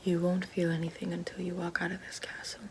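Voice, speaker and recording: A woman playing a regal, menacing evil queen witch in an ASMR fantasy roleplay, speaking slowly and formally in a soft, breathy, often whispered voice. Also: fairly low pitch, completely flat delivery, a little hiss or hum, natural voice. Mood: commanding, hushed. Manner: slow, deliberate, formal.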